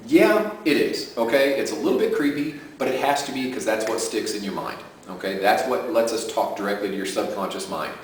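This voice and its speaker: A man with a deep voice pitching his sleep audio program in a chatty way and often adding "okay?" to his points. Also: expressive, brisk, few pauses, slight background noise. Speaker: self-help audio creator. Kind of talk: casual direct-to-camera pitch. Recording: roomy echo from a distant mic.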